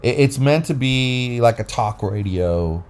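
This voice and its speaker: A man's voice: mid-range voice, animated, a little slow, a little mellow, clean tone. A man co-hosting a casual, unscripted podcast, explaining things in a rambling way into a phone. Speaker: podcast co-host. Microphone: recorded into a phone app.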